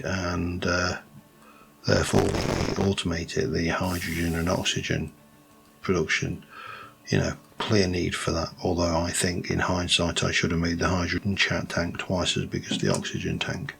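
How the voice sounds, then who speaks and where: A man with a light Yorkshire accent, rambling through casual gameplay commentary with many pauses and restarts. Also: sits low, gravelly. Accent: light Yorkshire English. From Yorkshire, England. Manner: rambling, thinks aloud with many ums and restarts. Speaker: let's play host.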